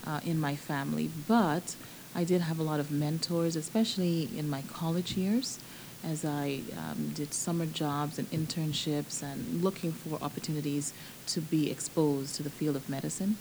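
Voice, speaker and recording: A woman surgeon in her forties, answering interview questions in an animated, emphatic way. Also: low voice, normal speed, a little hiss or hum, rough recording. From Bahamas.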